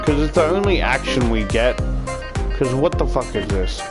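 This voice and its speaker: A man's voice: mid-range voice, lively intonation, normal speed, over loud music. A man giving a casual, rambling game review in a profane, exasperated, ranting manner with emphatic repetition. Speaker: video game reviewer, YouTuber.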